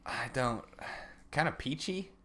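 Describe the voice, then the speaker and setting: A man's voice: mid-range voice, lively intonation, slow, bright, forceful tone. A man in his twenties talking informally and wryly as co-host of a casual comedy podcast. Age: 20s.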